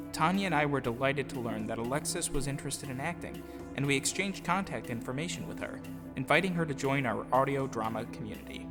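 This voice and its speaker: Earnest man narrating his story over music. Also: medium pitch. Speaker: audio drama producer and voice actor. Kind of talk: scripted first-person storytelling. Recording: music playing behind the voice.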